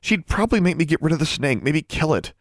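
Man voicing a stubborn, high-voiced young schoolgirl witch. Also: very animated, quick pace, no room sound, clean studio sound. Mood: desperate pleading. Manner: insistent, pleading.

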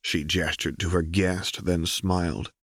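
A man's voice: sits low, fairly even intonation, a little slow, a bit bright, dry recording, studio quality. A man reading fiction as a scripted audiobook narration.

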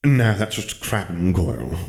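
A man voicing a cold, calculating spirit mentor for an audiobook, speaking in a measured, deliberate way with quiet intensity. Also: sing-song, exaggerated voice. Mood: dry, matter-of-fact. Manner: measured, low-key, deliberate. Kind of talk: audiobook character voice.

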